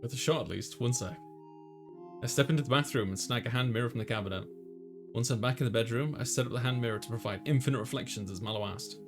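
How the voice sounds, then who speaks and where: Man, let's player, dramatized story reading, mid-range voice, clear music behind. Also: medium pitch, fast talker. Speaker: let's player voicing a visual novel. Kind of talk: reading game narration and dialogue aloud, dramatized. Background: clear background music.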